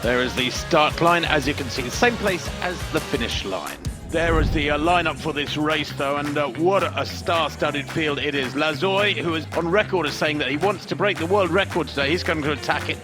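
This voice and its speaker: Male lead commentator, slightly high voice, chatty scene-setting over music. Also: moderate pace, hardly any pauses. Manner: scene-setting, conversational, addresses co-host. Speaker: race commentator. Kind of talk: live sports commentary.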